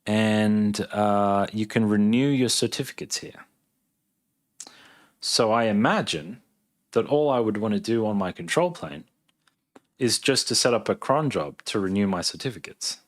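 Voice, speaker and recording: Low-voiced Australian man, laid-back livestreamer. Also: unhurried. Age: 30s. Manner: laid-back, thinking aloud. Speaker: live streamer, software engineer. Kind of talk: casual livestream chat, reading and answering viewer questions.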